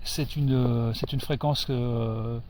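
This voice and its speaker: A man giving an informal hands-on equipment demonstration as an amateur radio operator, explaining things hesitantly and pausing to find his words. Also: medium pitch, fairly even intonation, background noise.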